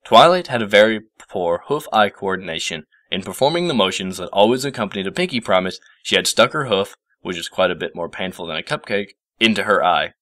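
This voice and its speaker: A man narrating a scripted story as an expressive storyteller. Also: fairly low pitch, brisk, hardly any pauses, no room sound, clean studio sound.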